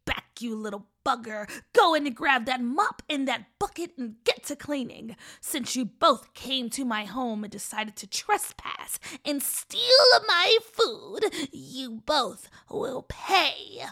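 A woman's voice: high voice, lively intonation, slow pace, few pauses, pushed and bright, full character voice. Woman doing a gloating evil old crone. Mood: scolding. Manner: bossy, sneering, gloating. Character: cruel greedy old woman who fattens children.

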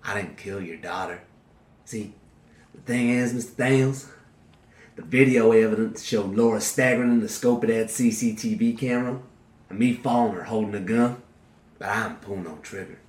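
An actor playing a defiant convict serving life, talking in coarse drawling slang with a sneer. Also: male voice, lowish voice, moderate pace, darkish tone, close to an everyday voice. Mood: taunting, low. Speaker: prison inmate. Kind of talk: dramatic dialogue acting. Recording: roomy echo.

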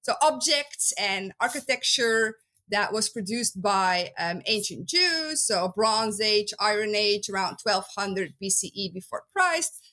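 A woman's voice: mid-range voice, expressive, measured pace, sharp tone. A woman in her thirties from Belgium with a Dutch accent, chatting as an interview guest, lots of ums and easy laughter. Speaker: guest archaeologist. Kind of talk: casual interview chat.

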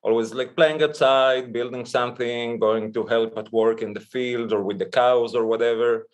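Man, thirties, from Israel and Chile, medium pitch, informal interview guest, casual storytelling. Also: steady tone, normal speed. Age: 30s. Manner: informal, mild swearing. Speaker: podcast guest, toy artist.

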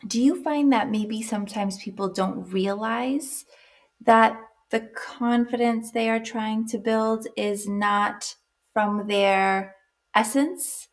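A woman's voice: a little high, fairly even intonation, slow. A woman hosting a podcast, asking long, rambling questions and chiming in often with short agreements. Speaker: podcast host. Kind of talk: casual interview chat.

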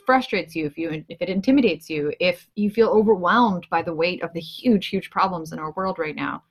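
A woman's voice: on the low side, brisk, slightly rough. A woman hosting a casual conversation, playfully quizzing her guests. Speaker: podcast host. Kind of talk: casual interview chat.

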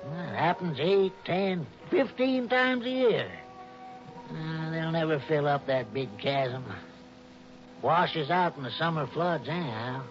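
An actor playing a cautious, folksy old Western guide with a rural American drawl in a 1960s radio drama. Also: male voice, on the high side, lively intonation, slow pace, soft background music. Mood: grumbling, wary. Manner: folksy, drawling, ungrammatical backwoods talk. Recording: dull, noisy vintage radio recording.

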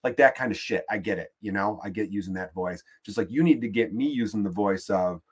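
A man's voice: on the low side, fairly quick, a little mellow, slightly rough. A man with a Chicago accent, hosting a podcast and delivering a profane, exasperated, rambling comedic rant. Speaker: podcast host.

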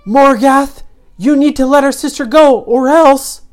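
A woman plays a young boy hero in a children's tale. His voice is raised high and loud, earnest and excitable, pleading and exclaiming. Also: a little slow. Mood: indignant. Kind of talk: children's story character voice.

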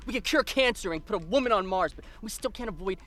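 Very high-voiced, petulant hotel guest. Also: male voice, lively intonation, fast talker, bright, forceful tone. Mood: exasperated. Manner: petulant, demanding. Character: irritable, entitled male hotel guest, impatient businessman. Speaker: actor in an audio drama. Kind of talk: scripted audio drama acting.

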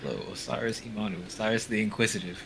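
A man's voice: lowish voice, steady tone, racing, vocal fry, over a noisy crowd. A young man co-hosting a casual podcast, explaining things at length in an earnest way. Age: young adult. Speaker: podcast co-host. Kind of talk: casual chat. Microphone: home mic over a voice call.

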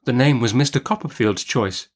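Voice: mid-range voice, normal speed, lightly acted. A narrator voicing a meek young mother-to-be in an audiobook, faltering, faint and innocent.

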